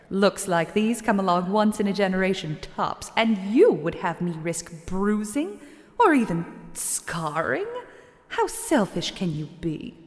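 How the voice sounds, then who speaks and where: A woman playing a vain, haughty female shadowling merchant in a video game, theatrical, self-absorbed and condescending. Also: lowish voice. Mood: haughty, indignant. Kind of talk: dramatic video game voice acting. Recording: long reverb on the voice.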